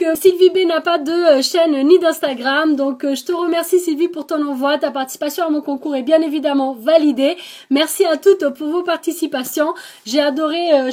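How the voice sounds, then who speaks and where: A woman's voice: high voice, darkish tone. A woman with an accent, hosting a craft channel, casually showing the crafts she received and thanking the senders, with frequent hesitations. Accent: non-native French accent. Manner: chatty, lots of hesitations and "donc". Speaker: scrapbooking channel host. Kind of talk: casual show-and-tell of craft mail.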